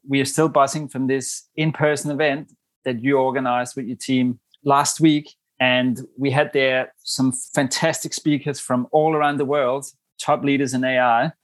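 Measured male podcast host, dry sound. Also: medium pitch, level tone, dry recording. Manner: measured, clearly read introduction, warm and formal. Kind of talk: scripted intro then interview.

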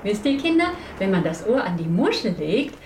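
A woman playing a friendly, caring young female pirate, talking gently and expressively to children in a puppet play. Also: medium pitch, very animated, normal speed. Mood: warm storytelling. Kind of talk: children's puppet-theatre storytelling. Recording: echoey room, distant mic, faint noise.

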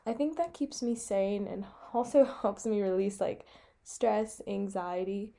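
A woman's voice: medium pitch, measured pace, creaky, mellow. A young American woman, about eighteen, chatting casually as a podcast co-host. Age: young adult, about eighteen. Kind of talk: casual chat.

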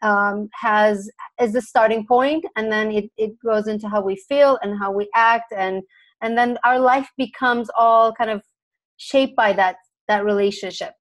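A woman's voice: mid-range voice, steady tone, measured pace. A middle-aged woman from Turkey speaking conversationally as an expert podcast guest, explaining things.

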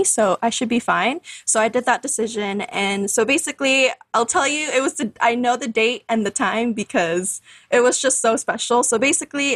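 A woman in her twenties, a podcast guest telling her story in a chatty, animated way, full of fillers and laughs. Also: on the high side, dry recording. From Canada. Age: 20s. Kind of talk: casual interview storytelling.

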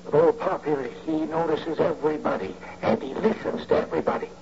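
Sly, gravelly old guard. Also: male voice, on the high side, expressive, fairly quick. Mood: smug, menacing. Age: older. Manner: folksy, ungrammatical, drawling authority. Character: sly old male bank guard, folksy and corruptible. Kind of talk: old-time radio drama acting. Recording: muffled, hissy old radio recording.

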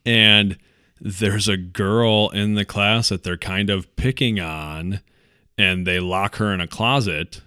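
A man's voice: lowish voice, takes it slowly, sharp tone, clean tone, dry recording, clean studio sound. A man co-hosting a podcast in casual chat, telling things in a laid-back, conversational way. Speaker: podcast host.

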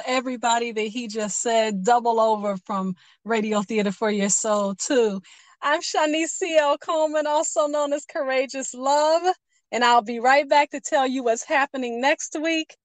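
A woman hosting a storytelling radio show, speaking in a measured, poetic way. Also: a little high, fairly even intonation, no room sound.

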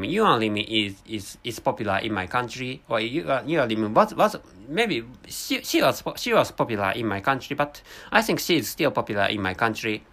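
A man's voice: mid-range voice, a bit bright. Japanese guy rambling in english, keeps restarting, voice bounces up and down a lot. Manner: halting, searching for words, self-correcting. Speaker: vlogger. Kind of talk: unscripted monologue in a second language.

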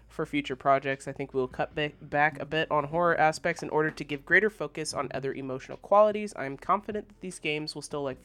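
A man's voice: on the high side, fairly bright tone. A man co-hosting a gaming news podcast, giving casual commentary in an informal, conversational way.

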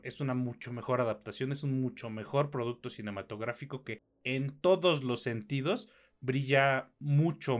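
Casting: male podcast co-host, Mexican, medium pitch, loose slangy conversational delivery, swears freely. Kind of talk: casual film review chat.

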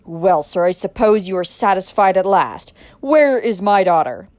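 A woman's voice: lowish voice, fairly even intonation, normal speed, darkish tone, dry recording, lightly acted. Woman as proud older father, stern, commanding, stiff, audiobook character. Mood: furious.

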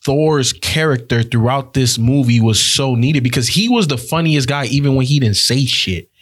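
A man's voice: medium pitch, moderate pace. A man talking casually as a panelist in a review discussion.

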